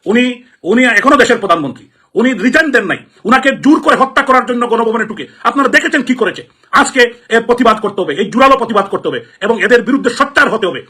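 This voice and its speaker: A man's voice: very high voice, animated, fast, pushed and bright, breathy edge. A Bangladeshi man from Sylhet, a politician giving an impassioned political address in an emphatic, repetitive, indignant manner. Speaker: politician, elected representative.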